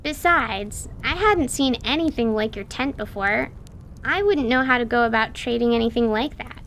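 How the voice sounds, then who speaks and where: A woman's voice: fairly high pitch, normal speed, fairly bright tone, slight background noise. A woman voicing a curious, talkative young girl adventurer in an audio drama.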